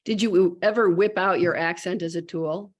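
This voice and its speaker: A woman's voice: on the low side, steady tone, normal speed. A woman joining an online artist talk to ask a question, hesitant and searching for her words. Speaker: audience participant. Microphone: video call.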